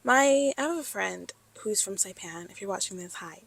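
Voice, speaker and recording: A woman's voice: medium pitch, animated, moderate pace, creaky, a bit bright, rough recording. A young woman from New York hosting a podcast, talking in a chatty, playful, upbeat way. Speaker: podcast host. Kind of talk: casual interview chat.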